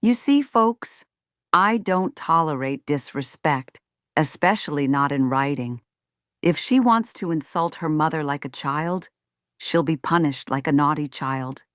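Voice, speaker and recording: A woman's voice: low-pitched, animated, unhurried, no echo, clean studio sound. A woman playing a strict, self-righteous middle-aged mother in a scripted roleplay, speaking to viewers with folksy, sugary condescension. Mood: stern. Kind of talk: scripted ASMR roleplay monologue.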